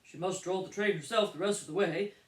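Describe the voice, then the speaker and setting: A woman voicing a chorus of fussy passengers who chime in loudly with a sing-song lilt. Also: on the low side, brisk, echoey room, recorded from a distance, lightly acted. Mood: mocking. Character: crowd of fussy passengers speaking in unison.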